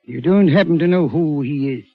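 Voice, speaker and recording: An actor playing a big, stout, sceptical and compassionate gentleman, speaking in a deliberate, probing way in vintage radio drama style. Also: fairly high pitch, no echo. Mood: questioning. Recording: muffled, dry old radio recording.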